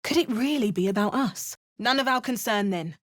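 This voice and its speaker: A woman's voice: a little high, lively intonation, moderate pace, no room sound, clean studio sound. A woman voicing an adventurer's lines in a video game, with wry, understated asides and narration. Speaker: video game player character.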